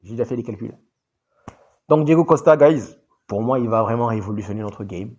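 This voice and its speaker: Male football vlogger, mid pitch, conversational and animated, addresses viewers directly. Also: a little fast. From grew up in Saudi Arabia. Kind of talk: casual commentary to viewers.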